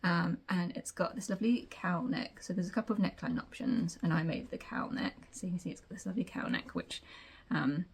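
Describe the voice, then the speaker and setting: Croaky, low-voiced woman vlogger. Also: brisk, slight background noise. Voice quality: croaky morning voice. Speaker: sewing vlog host. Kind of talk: casual chat to camera.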